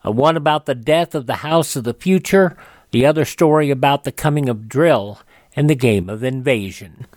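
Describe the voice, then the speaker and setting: A man's voice: medium pitch, normal speed, darkish tone, no echo, clean studio sound. A man hosting a radio show, reading announcements in a scripted style.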